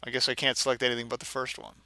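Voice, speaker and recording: A man's voice: mid-range voice, completely flat delivery, very fast, sharp tone, no room sound. A man hosting a gaming stream, mixing casual commentary and mock dramatic reading with sarcastic, self-deprecating asides.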